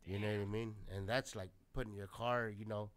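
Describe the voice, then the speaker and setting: A man's voice: on the low side, fairly even intonation, brisk. A man with a chest cold chatting casually on a podcast, laid-back and swearing a lot. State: congested. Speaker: podcast co-host. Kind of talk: casual chat.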